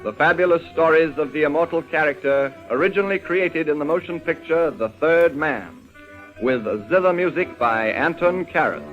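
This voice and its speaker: A man's voice: fairly high pitch, normal speed. A man announcing a scripted introduction to an old radio drama show. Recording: dull lo-fi old radio recording. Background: prominent music.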